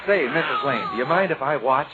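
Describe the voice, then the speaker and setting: A man's voice: medium pitch, animated, fairly quick, natural voice. An actor playing an easygoing, earnest young American man, chatty and folksy, in old radio comedy style. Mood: friendly, teasing. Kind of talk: 1940s radio comedy acting. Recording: muffled, noisy old radio broadcast.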